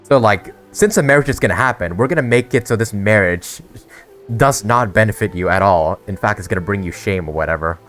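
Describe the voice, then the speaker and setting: Low-voiced young man, casual gaming commentary. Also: a little fast, fairly bright tone, faint music behind. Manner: slangy, bemused. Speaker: gamer, video creator.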